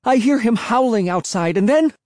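A man voicing a frantic, stubborn young boy in an audiobook story, with a shrill voice. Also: expressive, moderate pace, a little mellow, dry recording, studio quality. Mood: panicked.

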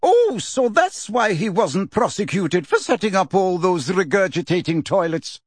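A man doing a crisp, stern old woman professor. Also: high voice, sing-song, measured pace, no echo, clean studio sound, voice pushed up, exaggerated voice. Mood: sardonic. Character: stern, dry-witted older woman professor.

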